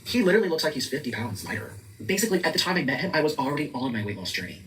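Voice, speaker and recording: Fast-talking man, slightly off-mic. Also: fairly high pitch, very animated, fairly bright tone, far from the mic, a little hiss or hum, low-quality recording. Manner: rapid, chatty, with many likes. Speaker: content creator. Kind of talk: casual talking-head chat.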